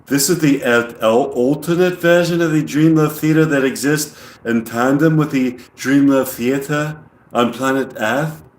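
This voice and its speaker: A man voicing an alien in a slow, deliberate monotone with a put-on English accent, reading from a script. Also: mid-range voice, echoey room, close to an everyday voice. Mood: flat, solemn.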